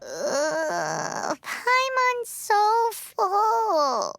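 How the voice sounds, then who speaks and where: A woman voicing a cheeky, childlike little fairy companion with a squeaky voice in a video game. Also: huge pitch swings, very slow, creaky, a bit bright, no echo, full character voice. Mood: stuffed, groaning. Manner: refers to self in third person. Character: small childlike floating fairy companion, cheeky and food-loving.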